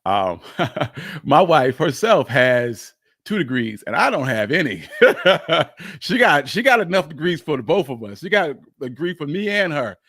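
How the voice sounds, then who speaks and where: A man's voice: fairly high pitch, big pitch swings, measured pace, a bit bright, slightly rough, dry recording, clean studio sound. A man hosting a live history stream, talking casually and emphatically as he answers questions, often repeating phrases for weight.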